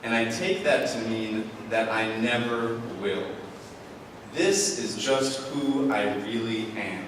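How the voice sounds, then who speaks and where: A man's voice: medium pitch, slow. Young man storytelling in an echoey hall. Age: young adult. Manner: self-deprecating, wry. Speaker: storyteller, author. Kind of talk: live comic personal storytelling to an audience. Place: large indoor event space with seated audience. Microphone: stage microphone on stand, picked up from afar. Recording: roomy echoing hall, distant pickup, audience noise. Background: audience ambience and noise.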